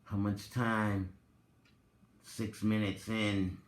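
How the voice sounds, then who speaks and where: Male reader, lowish pitch, extremely slow theatrical delivery with long pauses, echoing room. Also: flat delivery. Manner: theatrical, deliberate, drawn-out phrasing with long pauses. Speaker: reader and commentator, presenter of the recording. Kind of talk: dramatic reading with informal asides. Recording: roomy, echoing sound.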